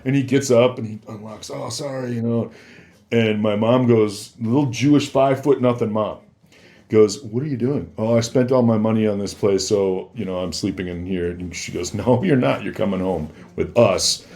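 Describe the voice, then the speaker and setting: Low-voiced man telling casual stories. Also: moderate pace, a little mellow. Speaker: podcast guest. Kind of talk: casual anecdotal storytelling.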